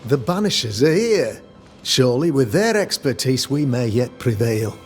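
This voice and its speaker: An actor playing a solemn, self-regarding older town governor in a video game, speaking in formal, measured, archaic phrasing. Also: male voice, mid-range voice, big pitch swings, lightly acted. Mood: solemn, courteous. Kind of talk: dramatic video game acting. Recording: music under the voice.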